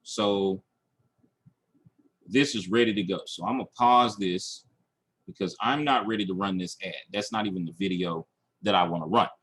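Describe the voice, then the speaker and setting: A man's voice: low-pitched, moderate pace, long pauses, a bit bright, breathy edge. A man teaching online marketing in a screen-share tutorial, talking through each step aloud and checking in as he goes. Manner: instructional, checking in with "okay" and "all right".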